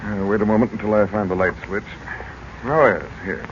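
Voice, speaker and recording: Actor as grave uncle, low voice, noisy old radio. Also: male voice, a little fast, dull audio, close to an everyday voice. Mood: guarded, purposeful. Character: Uncle Frank, grave, purposeful middle-aged uncle with a secret. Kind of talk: 1940s radio acting.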